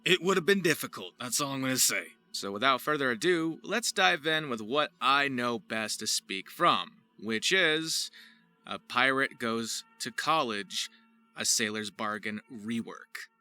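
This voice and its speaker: A man's voice: mid-range voice, expressive, unhurried, pushed and bright, faint music behind. A man presenting his voice acting work in a scripted, theatrical narration with florid wording. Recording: faint ambient noise behind.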